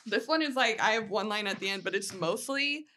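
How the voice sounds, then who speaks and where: Female, mid-range voice, informal podcast chatter, animated storyteller who acts out quotes. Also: mid-range voice, fairly quick, slight background noise. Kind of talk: casual chat.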